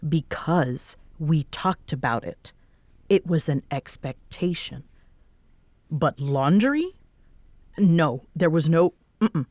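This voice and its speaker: Prickly, low-voiced woman roommate, acted by a woman. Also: expressive, darkish tone, no echo, full character voice. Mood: stern, guarded. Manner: curt, halting, defensive. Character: prickly young woman roommate, guarded, bossy, secretly soft. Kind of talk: intimate roleplay audio drama.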